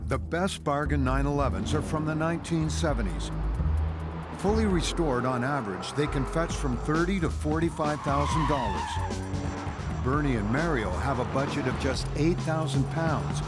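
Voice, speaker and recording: A male narrator reads a documentary script in a measured, wry voice pitched a little above the usual. Loud music runs underneath the whole time.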